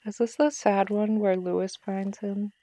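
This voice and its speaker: A woman streams a game with relaxed, off-the-cuff commentary. Her voice is mellow, with plenty of vocal fry, and sits close and dry on the recording. She talks in a chatty, apologetic way. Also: medium pitch, measured pace, no room sound. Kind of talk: casual live gameplay commentary.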